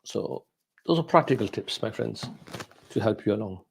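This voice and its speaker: Middle-aged male scholar, Gujarati mother tongue, lowish voice, informal Q&A delivery, halting and thoughtful. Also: animated, vocal fry, slight background noise. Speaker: Islamic scholar hosting a question and answer session. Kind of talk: casual informal question and answer talk.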